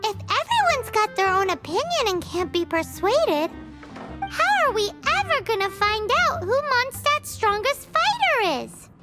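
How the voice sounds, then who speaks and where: Squeaky, excitable little fairy companion. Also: female voice, a little slow, heavily acted. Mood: pondering. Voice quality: squeaky, childlike. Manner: exuberant, speaks of herself in third person. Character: tiny excitable female fairy companion, childlike and cheeky. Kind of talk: animated video game voice acting. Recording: background music.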